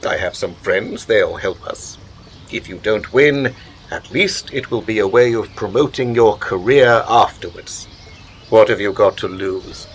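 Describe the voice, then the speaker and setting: An actor in a radio play, playing a smooth political patron who speaks in measured, coaxing rhetoric and turns grandly philosophical. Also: male voice, lowish voice, slight background noise, lightly acted. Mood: urging. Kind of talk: radio drama acting.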